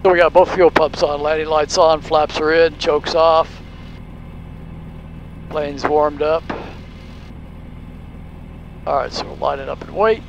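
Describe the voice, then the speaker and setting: A man's voice: fairly high pitch, takes it slowly, vocal fry, pauses often, highs cut off. A man, a pilot, giving casual, explanatory cockpit commentary and making radio calls. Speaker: private pilot and video host. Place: small aircraft cockpit. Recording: steady aircraft engine noise.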